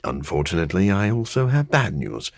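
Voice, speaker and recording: A man voicing a brooding, dryly sardonic scientist turned vampire in a video game, speaking formally with a husky edge. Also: low-pitched, big pitch swings, brisk, no room sound, full character voice. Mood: grave, measured. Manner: formal, learned, dry wit. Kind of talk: video game character voice acting.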